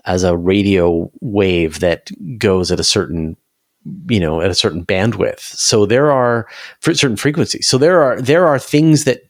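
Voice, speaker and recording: A man's voice: fairly low pitch, normal speed, no room sound, studio quality. A man talking enthusiastically and emphatically in a conversational science discussion, as an interview guest.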